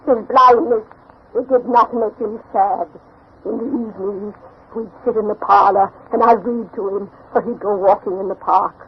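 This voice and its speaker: A woman's voice: mid-range voice, steady tone, moderate pace, slight background noise, close to an everyday voice. An actress playing a grieving, fearful elderly grandmother in a dramatic, emotional 1940s radio drama style. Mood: sorrowful. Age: older. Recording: muffled old radio broadcast.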